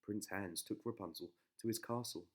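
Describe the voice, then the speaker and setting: A man's voice: fairly low pitch, moderate pace. A man narrating a children's bedtime story as a dramatic storyteller.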